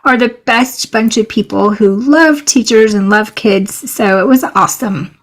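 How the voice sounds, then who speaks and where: A middle-aged woman, a professor and researcher, co-hosting a podcast in casual chat, her voice a little hoarse, upbeat and quick to laugh. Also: fairly high pitch.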